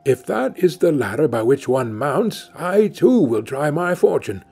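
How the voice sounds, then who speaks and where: A man's voice: a little high, sing-song, unhurried, gravelly, dark tone, slightly rough, soft background music, heavily acted. A man voicing a bold young king's son in a storybook, romantic and declamatory. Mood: resolved. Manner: calling out, declamatory. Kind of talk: storybook character voice.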